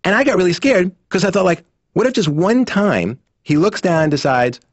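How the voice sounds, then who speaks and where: Nervous cartoon patient, swooping intonation, stand-up storytelling, dull dry audio. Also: male voice, high voice, expressive, moderate pace, dry recording. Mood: anxious, animated. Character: anxious therapy patient telling a comic anecdote.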